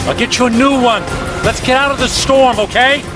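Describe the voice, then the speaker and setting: A man's voice: very high voice, steady tone, brisk. A man acting in a radio drama as an earnest, brave former workaholic, sincere and reassuring in manner. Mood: urgent. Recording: studio radio drama, loud music and effects.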